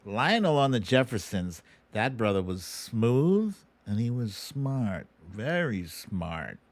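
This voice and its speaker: A middle-aged American man speaks at an unhurried, slow pace in a medium-pitched voice. He sounds like a livestream host, conversational and slangy as he gives casual commentary. The sound is close and dry. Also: very animated, dry recording. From United States. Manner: informal, slangy, conversational, plain-spoken. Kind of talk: casual livestream commentary, taking viewer questions.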